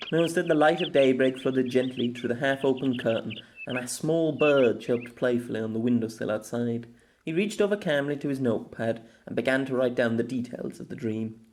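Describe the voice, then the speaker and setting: A man's voice: medium pitch, darkish tone, slight background noise. A man reading a scripted story aloud as an amateur audiobook narrator, in a dramatic storytelling style.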